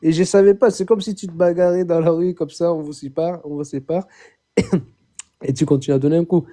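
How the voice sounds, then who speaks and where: A young man from Chad calling in to tell his own story, halting, repeating words and often swearing it's true. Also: fairly high pitch, fairly even intonation, warm tone. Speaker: caller. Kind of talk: phoned-in personal testimony.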